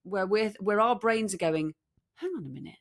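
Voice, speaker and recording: A British woman co-hosting a podcast in casual chat, telling stories in an animated, teasing way. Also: medium pitch, moderate pace, vocal fry. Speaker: podcast co-host. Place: room in central London.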